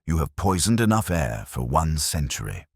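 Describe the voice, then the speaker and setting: Guy doing a cool sharp detective for an audio story, super low voice, precise n dramatic, swingy intonation. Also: sing-song, normal speed, breathy edge, no room sound, studio quality, heavily acted. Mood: stern. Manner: precise, declarative, theatrical. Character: keen, commanding male detective, coolly triumphant.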